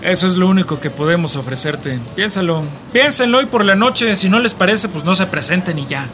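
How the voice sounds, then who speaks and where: An actor in a radio drama playing a hard-nosed, menacing Mexican migrant smuggler, talking bossy street slang in a northern Mexican accent. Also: male voice, high-pitched, animated, a little fast, fairly bright tone, faint music behind. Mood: businesslike.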